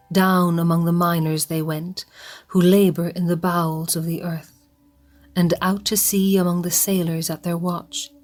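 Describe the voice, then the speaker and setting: A woman narrating a radio play slowly and evenly, sometimes in a hush. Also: fairly low pitch. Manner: slow, even, sometimes hushed. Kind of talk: scripted storytelling narration.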